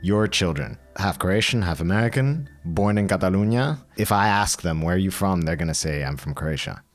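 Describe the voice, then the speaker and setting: A man's voice: low voice, lively intonation, moderate pace, soft background music. A man hosting a podcast, asking curious questions in casual chat. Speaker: podcast host.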